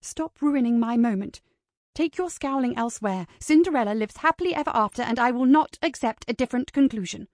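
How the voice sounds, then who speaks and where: A woman's voice: fairly high pitch, lively intonation, brisk, darkish tone, no room sound, studio quality, natural voice. A woman voicing an earnest, stubborn romantic heroine from a novel, heartfelt and spirited. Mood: indignant.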